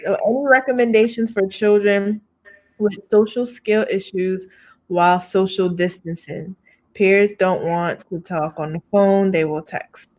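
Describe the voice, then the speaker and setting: A woman in her thirties hosting an education webinar over a video call, chatty and animated as she reads out audience questions. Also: medium pitch, a little slow, poor audio. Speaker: webinar host. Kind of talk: webinar talk.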